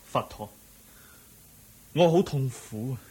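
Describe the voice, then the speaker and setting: Anguished, husky younger man. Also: mid-range voice, animated, slow, dark tone, rough recording. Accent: Hong Kong Cantonese. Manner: plaintive, earnest, emotional. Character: A-Wang, anguished younger family man seeking monkhood. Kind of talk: Cantonese radio drama acting.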